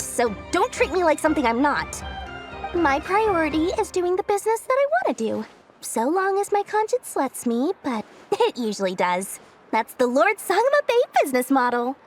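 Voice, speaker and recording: Proud merchant lady for a video game: high-pitched, breezy, assertive, light music underneath. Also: very animated, moderate pace. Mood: breezy, brisk. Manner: breezy, assertive, self-assured, matter-of-fact. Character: brisk, proud businesswoman and investor-minded merchant lady. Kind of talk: English video game dub acting.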